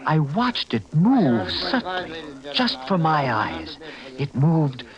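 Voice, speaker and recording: A man's voice: a little high, very animated, takes it slowly, dull audio, a crowd in the background. An actor playing an obsessed, stubborn collector who narrates his own downfall in dramatic 1940s radio style. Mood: brooding. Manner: intense, dramatic. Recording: old radio recording with music behind.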